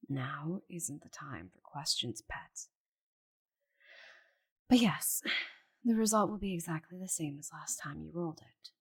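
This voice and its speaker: A woman's voice: low voice, animated, measured pace, pauses often, darkish tone, husky, full character voice. A woman voicing a proud, stubborn giant female dragon in an intimate ASMR roleplay, haughty and secretive, slipping into whispers. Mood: grave, puzzled.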